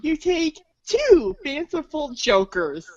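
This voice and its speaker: A woman's voice: sits high, wildly swooping pitch, slow pace, darkish tone, no room sound, lo-fi sound. A woman narrating a scripted read-along storybook in an informal, playful way, stumbling over words now and then.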